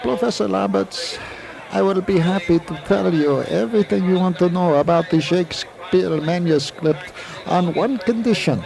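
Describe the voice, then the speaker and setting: An actor playing a formal, secretive, eccentric physics professor with courtly, pedantic phrasing in a 1980s audio drama. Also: male voice, sits high, normal speed, gravelly, poor audio. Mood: guarded, solemn. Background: racetrack crowd.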